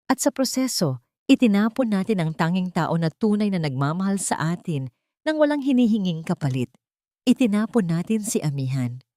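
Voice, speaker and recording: A woman's voice: mid-range voice, very animated, moderate pace, dark tone, no room sound, studio quality, lightly acted. Woman acting resigned adult son, bitter, weary, reproachful, dramatic audiobook delivery.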